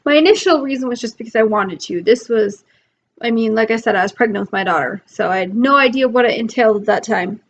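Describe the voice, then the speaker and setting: A woman's voice: a little high, fast talker, highs cut off, slight background noise. A young mom vlogging from her laptop, chatty and rambling with lots of ums, her voice a bit worn from being sick. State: recovering from illness, losing her voice. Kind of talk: casual talk to camera. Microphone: laptop mic.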